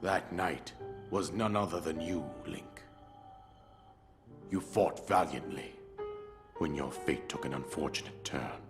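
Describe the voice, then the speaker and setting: An actor playing an old, grieving king in a video game cutscene, telling of past events in a grave, formal, measured way. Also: extremely low pitch, full character voice. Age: older. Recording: game cutscene with loud orchestral score. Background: orchestral music.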